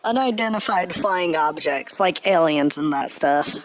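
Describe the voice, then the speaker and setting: A woman's voice: low-pitched, animated, measured pace, dry recording, low-quality recording. A woman voicing a patient, thoughtful child puppet who explains big questions in a children's show. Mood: curious. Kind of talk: scripted children's puppet dialogue.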